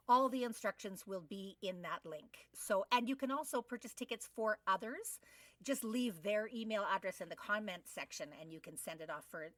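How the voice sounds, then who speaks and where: A woman's voice: mid-range voice, a bit bright, no room sound, far from the mic. A middle-aged woman co-hosting a casual interview over a video call, speaking in an enthusiastic, effusive way. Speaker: podcast co-host. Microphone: video call microphone.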